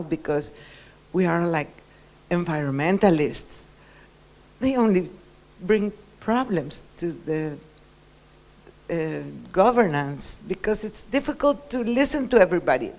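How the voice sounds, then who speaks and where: A middle-aged woman from Peru gives an earnest reflection to a conference workshop, pausing often as she speaks. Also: mid-range voice, a little mellow.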